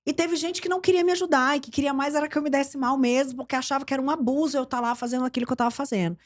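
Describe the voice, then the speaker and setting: A woman's voice: high-pitched, rapid-fire. A middle-aged woman from Brazil, a journalist and TV presenter, telling career stories in an interview and acting out the dialogue. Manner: lively storyteller, acts out quoted dialogue. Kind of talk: storytelling interview chat.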